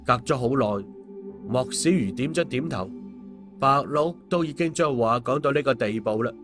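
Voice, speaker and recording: A man's voice: medium pitch, expressive, normal speed, music in the background. A man with a Hong Kong Cantonese accent narrating stories and commenting on them in a colloquial, opinionated way as host. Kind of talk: story narration and casual commentary.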